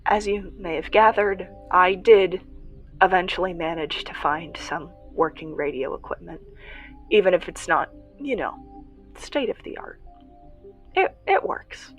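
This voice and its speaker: A woman playing an earnest young survivor who hosts a radio show in an audio drama, confiding reflectively in listeners with halting pauses. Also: on the low side, expressive, faint music behind. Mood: quietly hopeful. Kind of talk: first-person audio drama monologue, podcast-style confiding.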